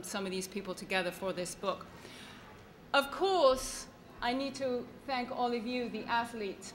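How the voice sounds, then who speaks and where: Woman w a highish voice giving a launch speech, earnest n grateful, stresses her words. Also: a bit bright. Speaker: author and public speaker. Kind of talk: public speech at a book launch. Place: event at an institutional venue, live speech.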